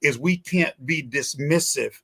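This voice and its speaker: A man's voice: a little high, a little slow, pushed and bright, breathy edge. A man in a conversational political talk show discussion, speaking emphatically and repeating phrases for weight.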